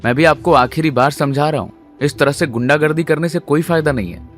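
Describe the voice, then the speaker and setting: An actor playing a calm, defiant and modest young man in an audio drama, reasoning with composure. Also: fairly high pitch, brisk, faint music behind, natural voice. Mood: firm, defiant.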